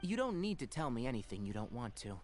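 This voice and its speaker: A man playing a perceptive young forest ranger with a measured, straightforward way of talking in an anime video game. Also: medium pitch, sing-song, close to an everyday voice. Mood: frank. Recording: game music behind.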